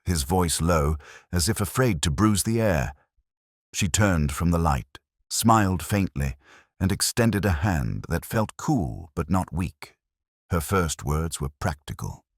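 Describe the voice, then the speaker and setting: Very deep-voiced brooding detective narrator acted by a man. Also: no echo, clean studio sound, full character voice. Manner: measured, brooding, literary. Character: melancholy, observant male police inspector recounting a case. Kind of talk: scripted first-person detective audio drama narration.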